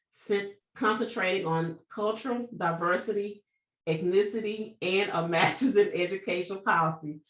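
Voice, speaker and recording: A woman hosting a podcast talks in a somewhat low voice, recorded in an echoey room. She reads a guest introduction and then chats loosely, stumbling over words and restarting her sentences. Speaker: podcast host. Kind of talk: reading a guest introduction, then casual interviewing.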